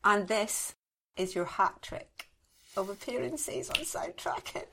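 A Scottish woman hosts a podcast interview at a middling pitch. She chats warmly with her guest and praises the film effusively. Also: lively intonation, slow, creaky, a little hiss or hum. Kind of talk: friendly interview chat.

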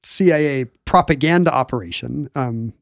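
A man's voice: medium pitch, moderate pace, creaky, no echo, clean studio sound. A man in his forties explaining history in casual conversation, rambling and often searching for the right word. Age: 40s. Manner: rambling, searches for words mid-sentence.

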